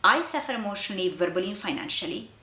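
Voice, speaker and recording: A woman's voice: mid-range voice, animated, pushed and bright. Middle-aged Afghan woman, echoing room. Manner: earnest, short halting phrases with non-native grammar. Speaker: interviewee, poet. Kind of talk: personal testimony in an interview. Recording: roomy, echoing sound.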